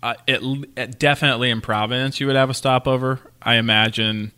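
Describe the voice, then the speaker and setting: A man's voice: mid-range voice, steady tone, fast talker, sharp tone, clear, resonant tone. A man from Kansas co-hosting a podcast, chatting casually and emphatically about air travel. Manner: chatty, emphatic, jokes about his own trouble with local words. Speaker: podcast co-host. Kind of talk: casual chat.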